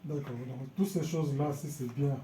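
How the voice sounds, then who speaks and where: Dark-voiced hesitant male interviewer. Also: mid-range voice, fairly even intonation, normal speed. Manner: hesitant, many fillers. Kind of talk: interview questions. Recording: echoey room with faint noise.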